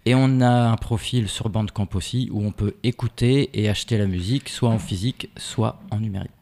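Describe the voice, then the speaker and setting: Low-voiced hesitant male musician. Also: a little fast, a little mellow. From half Norwegian, half French. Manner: hesitant, many fillers and restarts. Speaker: musician guest. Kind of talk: casual radio interview.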